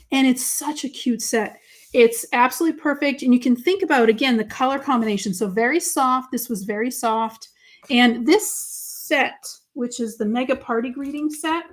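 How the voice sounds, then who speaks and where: Woman, Boston accent, slightly high voice, bubbly, chatty live craft demo. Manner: bubbly, gushing, talks to viewers by name. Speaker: craft show host.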